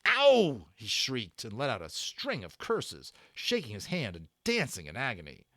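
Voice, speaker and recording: Animated male storyteller, dry close sound. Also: lowish voice, normal speed, dry recording. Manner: theatrical, suspenseful. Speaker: audiobook narrator, storyteller. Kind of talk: dramatic storybook narration.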